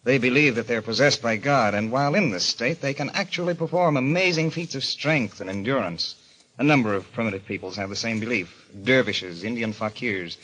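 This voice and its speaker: An actor playing a calm, cautious, learned doctor who explains things in a measured way, in old radio mystery style. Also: male voice, mid-range voice, close to an everyday voice. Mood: grave. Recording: faintly noisy old radio recording.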